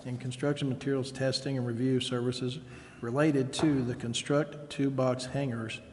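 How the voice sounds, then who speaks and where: Flat-toned man reading in an echoey hall. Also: mid-range voice, normal speed. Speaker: city council member. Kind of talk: formal reading of motions. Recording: echoey council chamber, faint noise.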